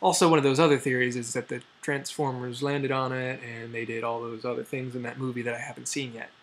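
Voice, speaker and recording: A man's voice: mid-range voice, flat delivery, quick pace. A young American man hosting a chatty science news explainer, dropping jokey asides and mock exclamations as he goes. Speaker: host of a science news video show. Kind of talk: chatty, semi-scripted explainer to camera.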